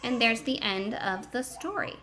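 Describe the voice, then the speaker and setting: A woman reading a picture book aloud to children in an expressive, emphatic way, pausing to explain and ask questions. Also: on the high side, a little hiss or hum.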